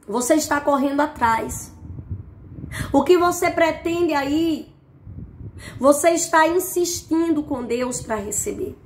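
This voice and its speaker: A woman's voice: high-pitched, slight background noise. A woman in her thirties delivering a fervent prophetic word, recorded on a phone at arm's length in a small room. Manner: fervent, repetitive, exclaims "eita Deus", gestures a lot. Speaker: prophetic preacher. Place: small indoor room, plain wall. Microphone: phone camera at arm's length.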